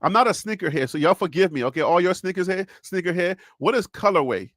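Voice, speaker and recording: Animated Liberian man hosting sports stream. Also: high voice, quick pace, no room sound, clean studio sound. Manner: animated, joking, repeats himself. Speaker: sports show host. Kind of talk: solo livestream sports talk.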